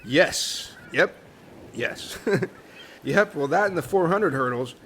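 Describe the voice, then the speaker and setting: A man playing an excitable, laid-back castaway in an audio comedy, exclaiming and swearing in slang. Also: a little high, slow, a little hiss or hum. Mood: elated, boastful. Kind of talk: comedy audio drama acting.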